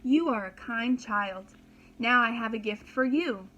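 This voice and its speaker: A woman voicing a kind, bent old peasant woman in a storybook, speaking slowly and earnestly as she instructs. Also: on the high side, pushed and bright, lo-fi sound. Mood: kindly, instructive.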